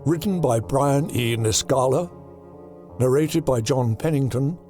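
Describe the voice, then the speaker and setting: A man narrating a horror audiobook in a deliberate, dramatic storytelling manner. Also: medium pitch, over music.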